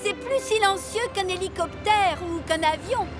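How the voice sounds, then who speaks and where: A woman's voice: very high-pitched, moderate pace. A woman voicing a childlike, giggly, wonder-struck railway coach in a children's cartoon, naive and singsong. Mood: amazed. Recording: loud music behind.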